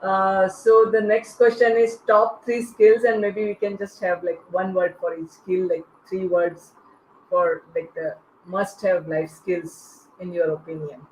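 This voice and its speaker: A woman moderating an unscripted panel with hesitant, halting phrasing. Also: medium pitch, little pitch movement, darkish tone. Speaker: panel host. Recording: echoey, faintly noisy online call.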